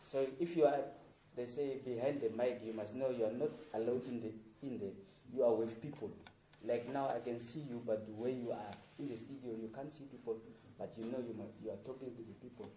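A radio producer from Namibia talking informally to students, encouraging them with plain, repetitive sentences and restarts. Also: male voice, medium pitch, fairly even intonation, fairly quick, dark tone, echoey room, distant mic, a little hiss or hum, low-quality recording.

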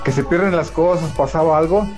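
A Mexican man calls into a radio show and chats casually with the host. His voice sits somewhat high, and faint music plays behind him. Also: a little fast, a little mellow. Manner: casual, chatty. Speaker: caller.

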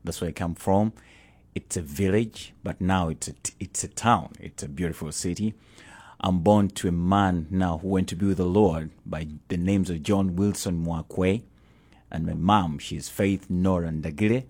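A man's voice: low-pitched, fairly even intonation, unhurried, a little mellow, slightly rough. A middle-aged man with a Ugandan accent, a pastor talking as a guest in an interview, earnest and prone to repeating himself.

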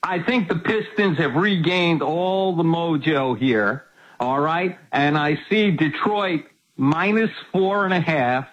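A man's voice: a little high, slow pace. A man of seventy, a guest on a sports betting show, talking casually with wry, self-mocking humor. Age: 70s. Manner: wry, self-mocking, laughs at his own jokes. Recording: muffled call-in line.

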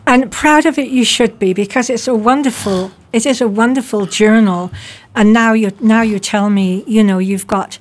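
A woman's voice: fairly high pitch, normal speed. A woman hosting a radio interview in a studio, talking in a conversational way with some filler words. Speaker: radio show host.

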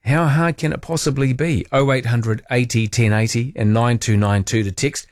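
Male late-night radio host, New Zealand accent. Colloquial, wry phone-in chat, medium pitch, very close dry sound. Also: steady tone, normal speed, dry recording.

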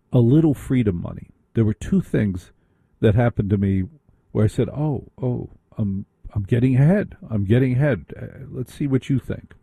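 Brief: male radio host, warm and slightly husky, chatty, close dry studio mic. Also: medium pitch, no room sound. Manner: chatty, anecdotal, interrupts callers. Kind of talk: late-night radio talk.

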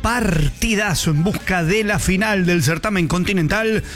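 A man with an Argentine accent presents sports news on the radio. His voice is notably high for a man, and soft music runs behind the bulletin. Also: measured pace. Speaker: sports reporter.

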